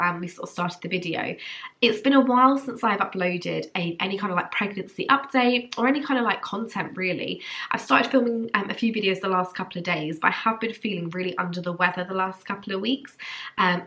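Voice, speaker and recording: A woman's voice: mid-range voice, sharp tone. A young woman with a cold, sounding a bit nasal and congested, chatting casually to camera with lots of ums and likes. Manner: rambling, many ums and likes. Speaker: vlogger.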